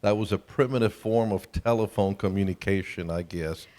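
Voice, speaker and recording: A man's voice: lowish voice, normal speed, darkish tone. A middle-aged or older man with a Colombian accent, giving a conversational family seminar talk to an audience. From Colombia, South America. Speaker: seminar speaker. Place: large hall at a camp meeting. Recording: reverberant hall sound system.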